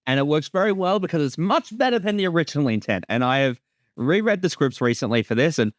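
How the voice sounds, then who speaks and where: A man's voice: a little high, lively intonation, fast, no echo, studio quality. A man giving a casual, jokey creator's commentary with informal language and some swearing.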